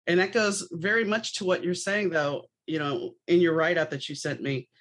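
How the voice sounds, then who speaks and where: A woman's voice: on the low side, steady tone, normal speed. A woman podcast host talking in a conversational, chatty, anecdotal way.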